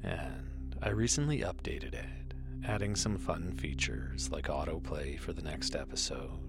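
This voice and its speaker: A man hosting a sleep podcast, speaking softly with some whispered words. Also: extremely low pitch, lively intonation, a little slow, creaky, over loud music. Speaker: podcast host. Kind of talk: soft sleep podcast announcement.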